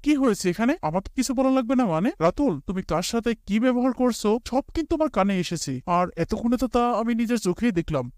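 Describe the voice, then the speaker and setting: An earnest, pious father in a moral cartoon, played by a man. His voice is high and mellow, recorded very dry. He admonishes and teaches in measured storytelling phrases. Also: no room sound. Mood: scolding.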